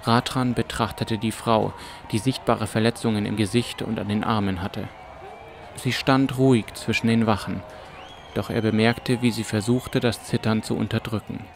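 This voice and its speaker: A man's voice: lowish voice, fairly even intonation, normal speed, slight background noise. A man narrating a scripted fantasy audiobook as a storyteller. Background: music and ambience.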